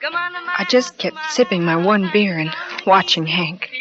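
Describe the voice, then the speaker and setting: An anxious, devoted wife narrating in an old radio play, her voice rising and falling in wide swings, pleading and breathless. The broadcast sounds muffled, with clear music running beneath it. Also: mid-range voice, very animated, measured pace. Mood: anxious narration. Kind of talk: 1940s radio acting.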